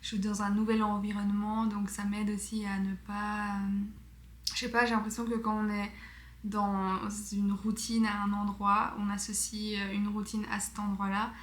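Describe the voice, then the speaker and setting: A woman's voice: medium pitch, monotone, normal speed. A woman, chatting casually in a vlog with lots of fillers. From Belgium. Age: 20s. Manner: chatty, many fillers, enthusiastic. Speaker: vlogger. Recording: echoey room with faint noise.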